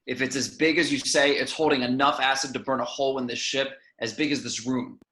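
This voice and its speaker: A man's voice: medium pitch, steady tone, a little fast, pushed and bright. A man playing a decisive spaceship captain in an amateur table read of a sci-fi script, recorded in a roomy space with echo. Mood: deliberating, firm. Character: decisive male spaceship captain giving orders.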